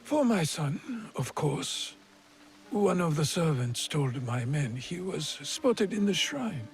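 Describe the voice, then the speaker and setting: A man playing a middle-aged, scheming feudal Japanese lord and stern father in a video game, measured and imperious, with veiled menace. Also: on the high side, big pitch swings, slight background noise, full character voice. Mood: curt, imperious. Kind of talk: cinematic video game acting. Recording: music behind the voice. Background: background music.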